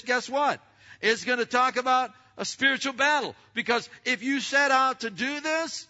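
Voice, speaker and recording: Big-voiced preacher man, lo-fi. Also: high voice. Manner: loud and emphatic, with many stammered restarts. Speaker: pastor. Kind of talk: sermon to a congregation. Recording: lo-fi recording.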